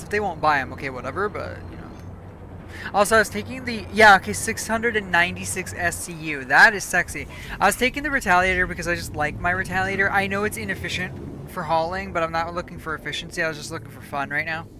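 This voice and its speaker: A woman's voice: fairly low pitch, fast, bright, forceful tone, slight background noise. A woman streaming a game, chatting casually and rambling, correcting herself as she goes, her voice starting to go. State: losing her voice. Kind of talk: casual live gameplay chat.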